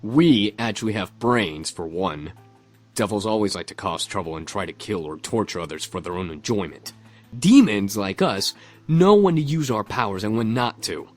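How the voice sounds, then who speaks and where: A man voicing a hot-headed, protective young demon in a visual novel, blunt and expressive. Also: fairly low pitch, moderate pace, faint music behind, lightly acted. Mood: indignant.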